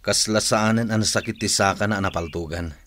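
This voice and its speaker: A man's voice: fairly low pitch, fairly even intonation, normal speed, fairly bright tone, a little hiss or hum, natural voice. A man with a Filipino accent playing a loyal, deferential fighter in a radio drama. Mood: respectful. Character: loyal, respectful young warrior following his leader.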